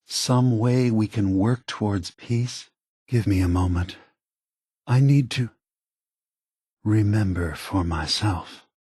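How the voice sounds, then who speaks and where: Slow, husky, weary synth leader. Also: male voice, lowish voice, dark tone, dry recording, studio quality. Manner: grave, deliberate, measured. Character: weary, peace-seeking synth leader, a thoughtful machine. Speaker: game character. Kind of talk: scripted video game dialogue.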